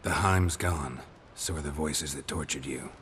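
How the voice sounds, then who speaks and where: A very low, gravelly male voice for a stoic fantasy game monster hunter. He speaks tersely with deadpan dry humour, over clearly audible background ambience. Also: measured pace, a little hiss or hum. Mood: calm, matter-of-fact. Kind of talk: video game voice acting.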